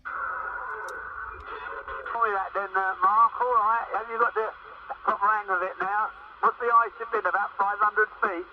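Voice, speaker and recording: A man's voice: sits high, steady tone, a little slow, long pauses. A man bantering casually over CB radio, with a teasing manner. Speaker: CB radio operator. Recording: distant, muffled CB radio with loud static.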